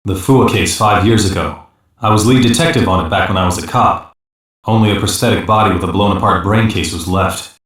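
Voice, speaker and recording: A man's voice: on the low side, fairly quick, fairly bright tone, heavy reverb, far from the mic, lightly acted. A man playing an earnest ex-detective in an elite police unit in an anime dub, reporting in a matter-of-fact, procedural way. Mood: focused, reporting. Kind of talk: English-dub anime voice lines.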